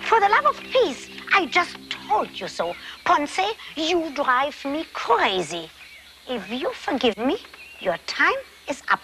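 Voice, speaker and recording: Eccentric, high-voiced, dramatic mystic. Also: female voice, wildly swooping pitch, exaggerated voice. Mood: exasperated. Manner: dramatic, drawn-out, scolding. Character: eccentric, blunt, moody mystic woman guide. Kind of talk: comic fantasy TV acting. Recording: music behind, VHS tape source.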